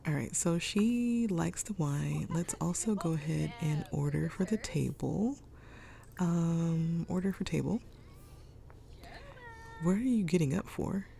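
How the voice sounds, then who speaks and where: A young woman with a raspy voice from a cold, chatting her way through a life-sim game with lots of ums. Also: lowish voice, slow, dark tone. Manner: chatty, thinking aloud with many ums. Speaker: gaming YouTuber. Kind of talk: casual let's-play commentary. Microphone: desk microphone.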